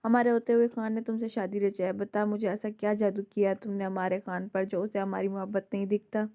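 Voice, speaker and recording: A woman reading a spiteful, jealous young woman who taunts her rival with venom, in a hoarse voice. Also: medium pitch, level tone, brisk, dark tone, close to an everyday voice. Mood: taunting.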